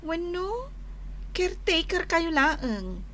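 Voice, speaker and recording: Exasperated young wife, nagging and emotional, very high pitch, slow-ish delivery, radio drama. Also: female voice, sing-song, sharp tone, heavily acted. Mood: friendly, curious. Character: exasperated young wife scolding her drinking husband. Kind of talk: Ilocano radio drama acting.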